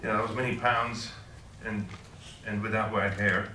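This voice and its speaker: A man's voice: fairly low pitch, flat delivery, moderate pace, sharp tone, distant mic, slight background noise. A middle-aged man from Switzerland, a professor, giving an informal talk and asking the audience questions. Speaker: guest speaker. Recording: echoey room, voice heard from afar.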